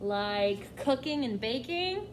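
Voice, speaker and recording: Animated high-voiced woman reading to children. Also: takes it slowly, slight background noise. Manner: expressive, questioning, addresses young listeners directly. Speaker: teacher reading aloud to children. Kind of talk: children's nonfiction read-aloud with asides.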